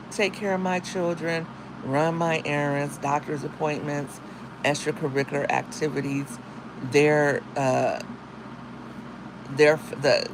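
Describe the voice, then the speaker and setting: A woman with a deep, husky morning voice, talking casually to viewers in a livestream as a single mom and content creator, with chatty, slangy asides. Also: background noise. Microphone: phone.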